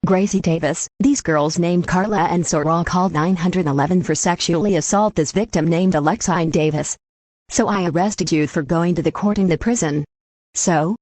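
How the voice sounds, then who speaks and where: A woman's voice: on the low side, normal speed, darkish tone, dry recording, natural voice. A woman playing a female police officer giving testimony in a homemade trial drama. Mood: stern.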